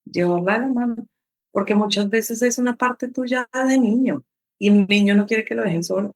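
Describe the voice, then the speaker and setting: A woman's voice: fairly high pitch, moderate pace. A woman psychotherapist as a podcast guest. She speaks thoughtfully and explains her ideas through everyday analogies. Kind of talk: intimate conversational interview.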